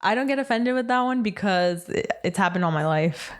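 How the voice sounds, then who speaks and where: A woman's voice: medium pitch, animated, brisk. A woman from Chicago, co-hosting a casual podcast chat, talking emphatically and standing by her point. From Chicago, United States. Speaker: co-host.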